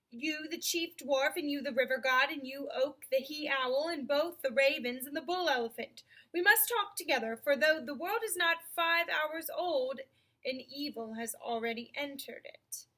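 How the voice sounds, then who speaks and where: A woman voicing a great lion king from a storybook, solemn and commanding, with stately, formal, deliberate speech. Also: sits high, bright, forceful tone. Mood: grave, commanding.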